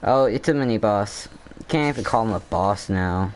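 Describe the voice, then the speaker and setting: A man's voice: fairly low pitch, moderate pace, a little hiss or hum. A man giving casual gameplay commentary, rambling and thinking aloud as he plays. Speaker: gamer commentator.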